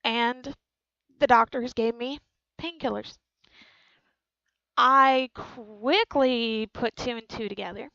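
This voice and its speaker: A woman's voice: fairly high pitch, fairly even intonation, takes it slowly, gravelly, long pauses, a bit bright, no room sound, dull audio. Earnest young woman sharing her story. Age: 20s. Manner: earnest, frank, repeats lines for emphasis. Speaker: channel host. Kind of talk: personal testimony to camera.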